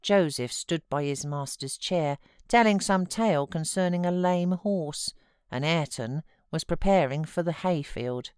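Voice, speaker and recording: A woman with a British accent giving measured, expressive literary narration as an audiobook reader. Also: deep voice, smooth, no echo, studio quality.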